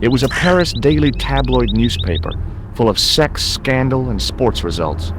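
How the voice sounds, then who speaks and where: An actor playing a wry American adventurer in a video game, narrating his investigation in a dry, deadpan, measured way. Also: mid-range voice, noisy background, natural voice. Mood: wry, observant.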